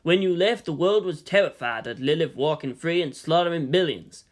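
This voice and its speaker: A narrator playing a weary male army general, formal and measured, who reasons and pleads firmly. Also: medium pitch, natural voice. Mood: measured, reasoning. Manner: measured, official, placating.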